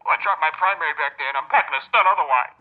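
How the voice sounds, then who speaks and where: A man's voice: fairly high pitch, rapid-fire, close to an everyday voice. A man playing an edgy, scornful, argumentative security guard in an audio drama. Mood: rattled, defiant. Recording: dull lo-fi radio-like sound.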